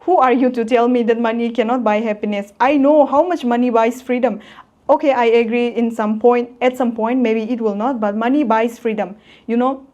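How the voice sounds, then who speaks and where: A woman's voice: on the high side, normal speed, darkish tone, lo-fi sound. A woman in her twenties talking straight to camera in a casual motivational vlog, earnest, blunt and emphatic. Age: 20s. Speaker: vlogger.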